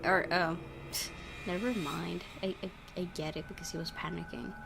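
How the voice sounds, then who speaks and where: A woman's voice: fairly low pitch, steady tone, normal speed, vocal fry. A woman hosting a gaming video, reading a visual novel aloud and adding casual commentary. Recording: game music behind the voice.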